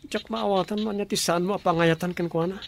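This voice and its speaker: A man's voice: sits high, steady tone, brisk, gravelly, a little hiss or hum. A man in a radio drama playing an easygoing, affectionate young husband who talks mildly. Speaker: office worker husband.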